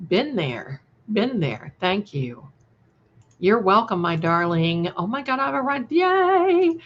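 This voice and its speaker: A woman livestream host talks at an unhurried pace through slightly dull, lo-fi audio. She chats casually with viewers, gushing endearments and drifting from one remark to the next. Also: mid-range voice, fairly bright tone. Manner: gushing, affectionate terms of endearment, scattered and conversational. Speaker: livestream host and channel creator. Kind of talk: casual livestream chat, reading and answering viewer comments.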